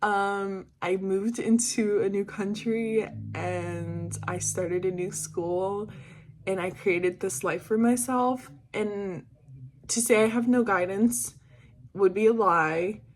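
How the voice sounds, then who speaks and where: A woman's voice: mid-range voice, takes it slowly. A twenty-year-old woman from the Caribbean talking casually and candidly in a personal vlog, rambling and stressing her points. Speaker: vlogger.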